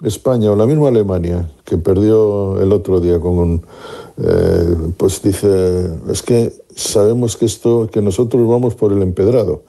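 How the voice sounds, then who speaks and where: A man's voice: fairly low pitch, steady tone, unhurried, vocal fry, warm tone. A man giving football analysis on sports radio, thinking aloud with frequent restarts. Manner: musing, many restarts and fillers. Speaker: football pundit.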